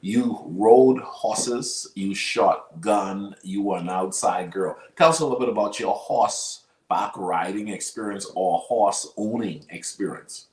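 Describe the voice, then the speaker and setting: A low-pitched man with a Bahamian accent works as an interview host. He talks conversationally and tends to circle back and rephrase what he asks. The sound is noticeably roomy and echoey. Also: unhurried, hardly any pauses, darkish tone.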